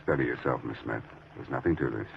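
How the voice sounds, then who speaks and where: An actor playing a confident, wry amateur detective with an American accent and sardonic delivery in 1940s radio mystery style. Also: male voice, a bass voice, fast, vocal fry, very noisy, exaggerated voice. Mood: calm reassurance. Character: confident wry amateur detective, unmasks killers with cool sarcasm. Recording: muffled, dull 1940s radio recording.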